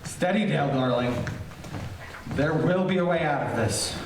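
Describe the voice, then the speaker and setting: A man playing a steady, brave young hero in an old radio serial, reassuring and sincere. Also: a little high, normal speed, natural voice. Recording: distant, very echoey hall, clear noise.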